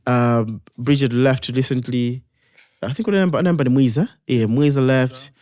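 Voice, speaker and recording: A man with a Malawian accent co-hosting a podcast in a relaxed, casual way, slipping local phrases into his English. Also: medium pitch, moderate pace, no echo, studio quality. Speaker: podcast co-host.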